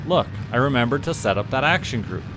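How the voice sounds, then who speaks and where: A man's voice: mid-range voice, animated, fast, background noise. A Canadian man giving casual, playful live commentary as he hosts a gaming stream, slipping into mock-official mission-control talk. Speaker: livestream gamer host.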